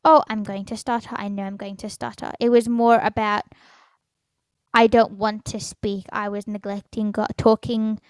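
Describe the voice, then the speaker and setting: A teenage girl hosting her own podcast, talking in a halting way with stutters, fillers and restarts, often trailing off quietly. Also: mid-range voice, little pitch movement, clear, resonant tone, no echo, studio quality. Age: teens. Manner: soft, trails off quietly at phrase ends. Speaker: podcast host. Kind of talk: solo personal podcast.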